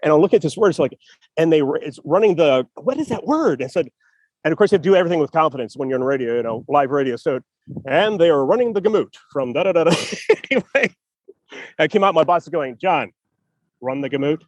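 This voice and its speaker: Jokey, rambling man, higher voice. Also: expressive, a little mellow, no echo, highs cut off. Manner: jokey, rambling, quick to laugh. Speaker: co-host, writer. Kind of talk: casual podcast chat. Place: outdoors in a city park. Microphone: video call over spotty public Wi-Fi.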